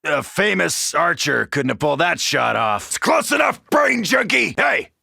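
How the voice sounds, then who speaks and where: Gravelly, cocky American soldier. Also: male voice, a little high, very animated, moderate pace, sharp tone, no room sound, shouting, clean studio sound, full character voice. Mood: aggressive, taunting. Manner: brash, swaggering, wisecracking. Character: gruff, cocky macho soldier fighting zombies. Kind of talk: video game character voice lines.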